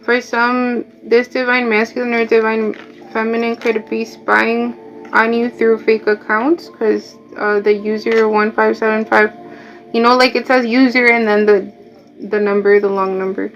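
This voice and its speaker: Brief: higher-voiced woman with a Mexican accent reading tarot, chatty, muffled lo-fi audio. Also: level tone, a little slow. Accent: Mexican Spanish. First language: Spanish. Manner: chatty, repeats words, drifts into asides. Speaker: tarot reader. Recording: dull lo-fi audio, faint music.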